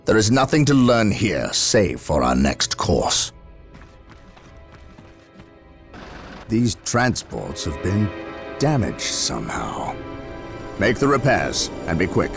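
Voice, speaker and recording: A stern space marine captain, speaks in a deep, gravelly voice. He is formal and grave, giving commands in a video game cutscene with music behind. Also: sing-song, measured pace, full character voice.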